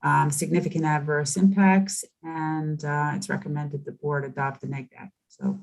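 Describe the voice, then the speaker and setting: A woman's voice: sits low, fairly even intonation, normal speed, a little mellow. A woman advising a board, giving a procedural spoken report over a video call.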